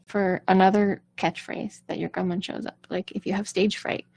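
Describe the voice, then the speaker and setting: A woman's voice: medium pitch, little pitch movement, normal speed, gravelly, darkish tone, husky, dry recording. A woman vocal coach speaking casually and reassuringly to viewers in a live-streamed coaching chat.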